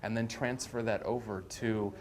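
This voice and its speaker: A man's voice: lowish voice, fairly even intonation, moderate pace. A young adult man, a college student, giving a casual testimonial.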